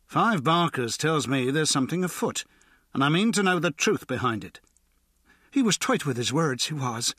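A man's voice: very animated, moderate pace, fairly bright tone, breathy edge, no echo, clean studio sound, heavily acted. A man doing a nervous, wheedling street informant. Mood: pleading. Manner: pleading, servile, higher put-on voice.

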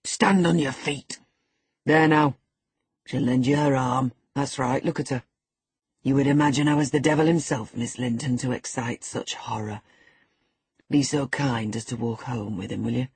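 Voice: mid-range voice, fairly even intonation, moderate pace, breathy edge, dry recording. A narrator voicing a menacing, sardonic middle-aged man who commands and sneers, in an audiobook of a classic novel. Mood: mocking. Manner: sneering, commanding, alternately muttering and shouting.

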